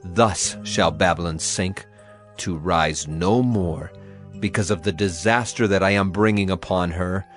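A man's voice: low voice, unhurried, a bit bright, faint music behind. A man playing a solemn prophet proclaiming divine wrath in a declamatory, dramatized scripture reading. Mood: grave instruction.

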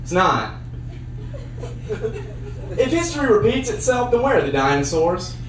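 A young man doing a stand-up comedy set with a handheld mic on a theater stage, trading dry one-liners for sudden loud bursts. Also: sits high, sing-song, unhurried, slight background noise. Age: young adult. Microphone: handheld mic on a cord. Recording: roomy sound from a far camera.

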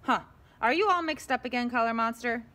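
A woman's voice: a little high, fairly quick, sharp tone. A woman reading the part of a kind, helpful little girl from a picture book, in a caring, encouraging way. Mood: puzzled.

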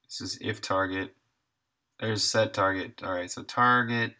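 Slow-paced man with a bright, casual voice. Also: on the low side, fairly even intonation. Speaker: game developer live streaming. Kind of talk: casual chat while coding, thinking aloud.

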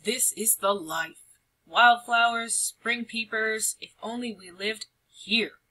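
A woman's voice: on the high side, takes it slowly, sharp tone, full character voice, rough recording. A woman voicing an enthusiastic, dreamy male town mouse in a storybook, savouring each phrase. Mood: delighted.

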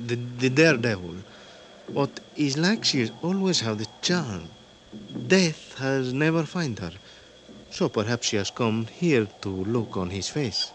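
A Latin American local with a Spanish accent, fatalistic and devout. He speaks broken, halting English sprinkled with Spanish at a middling pitch. It is 1950s radio drama, with light hiss on the old recording.

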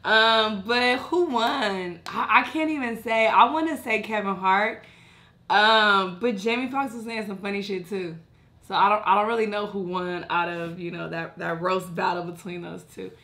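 A young woman hosting a reaction video, hoarse from losing her naturally deep voice, chatting casually and apologetically in a bright indoor room. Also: normal speed. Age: young adult.

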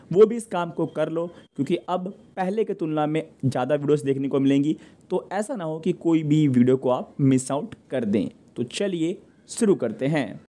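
A man's voice: fairly high pitch, dark tone. A man hosting a news update, narrating informally and speaking directly to viewers in a conversational way. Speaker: host of a TV channel news update show.

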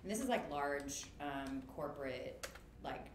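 A woman's voice: low voice, animated, unhurried. A woman in her thirties telling her career story on a video call panel, talkative and full of fillers. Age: 30s. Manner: chatty, lots of fillers, laughs easily. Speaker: guest, makeup artist business owner. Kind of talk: casual panel chat. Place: indoor room, on a video call. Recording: roomy webcam audio with noise.

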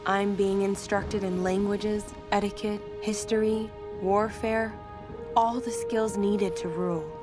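An actress playing a dutiful, uneasy young priestess in a video game, reading her diary in an earnest, measured way. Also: mid-range voice, steady tone, lightly acted. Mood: troubled. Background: music.

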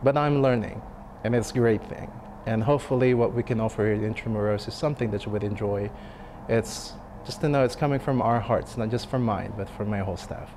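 A man in his thirties, a restaurant chef, speaking in a sit-down interview, thoughtful and halting with many fillers and restarts. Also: fairly low pitch, background noise. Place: dim restaurant dining room. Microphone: lapel mic.

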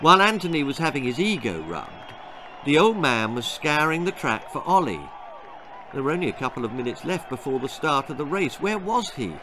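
An older man reading his children's story aloud in an expressive, dramatic narrating style. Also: a little high, brisk, a crowd in the background, noisy background. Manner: expressive, theatrical. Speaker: author reading own story. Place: small book-lined room indoors.

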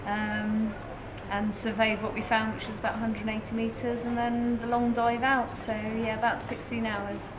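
A woman's voice: fairly high pitch, little pitch movement, moderate pace, hardly any pauses, heavy background noise, close to an everyday voice. A woman recapping a long cave dive, upbeat but tired, with many fillers. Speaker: cave diver. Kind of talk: interview recap. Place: outdoors by a car park.

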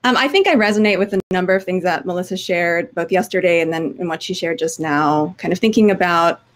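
A woman in her thirties with a scratchy, hoarse voice, speaking thoughtfully as a panelist on a video call. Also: lowish voice, brisk. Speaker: panelist, oral historian. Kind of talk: conference panel remarks. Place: home office with bookshelves. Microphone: video call webcam.

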